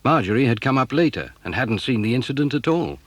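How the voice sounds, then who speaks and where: A man's voice: medium pitch, sing-song, quick pace, no echo. A man reading a scripted children's story as narrator.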